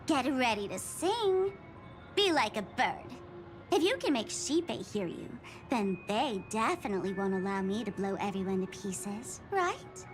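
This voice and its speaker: A woman's voice: medium pitch, big pitch swings, measured pace. A woman playing a playful, sadistic young female bomber villain in a video game, with mocking, theatrical delivery. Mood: taunting. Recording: music under the voice. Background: background music.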